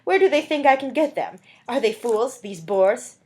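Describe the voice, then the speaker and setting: A woman's voice: on the high side, big pitch swings, normal speed, a little mellow, pitched above the performer's own voice. A woman voicing a poor, bitter, desperate young mother with dramatic emotion in a storybook reading. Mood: bitter mockery.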